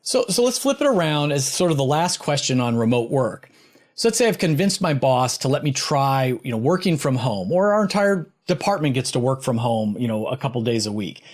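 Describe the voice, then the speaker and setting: A man's voice: medium pitch, very animated, fairly quick. A man hosting a podcast, interviewing a guest in a conversational way.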